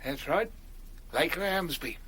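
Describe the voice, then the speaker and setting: A sly old village gravedigger, his speech drawling and cagey. His voice sits fairly high and swings up and down a lot as he bargains, with a faint hiss behind it in this radio play. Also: lively intonation, measured pace, a bit bright. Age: older. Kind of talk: radio drama acting.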